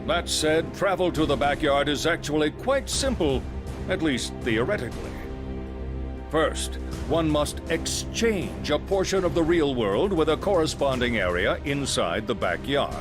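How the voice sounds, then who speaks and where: Calm, professorial scientist over music. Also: male voice, fairly high pitch. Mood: measured, instructive. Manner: measured, professorial, formal, unhurried explaining. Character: calm, erudite male scientist lecturing on grave theories. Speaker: character in a video game story scene. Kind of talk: dramatic game voice acting, expository lecturing.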